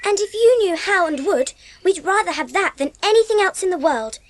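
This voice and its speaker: Little english girl, super high voice, bouncy intonation, earnest and well mannered, kids audiobook. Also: expressive, a little fast. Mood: earnest, pleading. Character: earnest, polite English girl, eager and frank. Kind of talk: dramatised children's audiobook.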